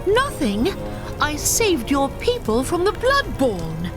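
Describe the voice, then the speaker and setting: An actress playing a proud elf warrior in a video game, speaking with measured, lofty earnestness. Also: female voice, high-pitched, wildly swooping pitch, exaggerated voice. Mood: indignant. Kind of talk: video game cutscene acting. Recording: music behind.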